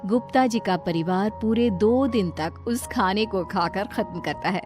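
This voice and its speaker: A woman reading scripted narration for a cartoon story as its narrator. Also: medium pitch. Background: background music.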